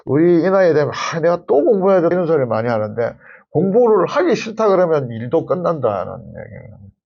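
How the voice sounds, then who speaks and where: A middle-aged man speaks in a husky, gravelly voice with an animated rise and fall. He gives conversational advice like an instructor, leaning on key phrases and repeating them. The sound is a little muffled and lo-fi. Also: fairly high pitch, fairly quick. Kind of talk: conversational advice to camera in an interview.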